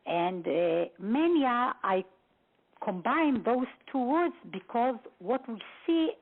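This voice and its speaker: A woman from Israel giving a halting expert briefing full of fillers and restarts, heard over a phone line. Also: on the high side, expressive. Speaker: guest expert on a phone seminar. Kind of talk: lecture-style briefing.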